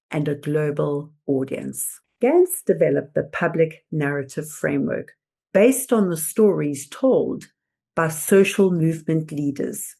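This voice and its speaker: A woman presenter delivering scripted motivational narration in a deliberate, emphatic, oratorical manner. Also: sits low, vocal fry, mellow. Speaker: host and presenter.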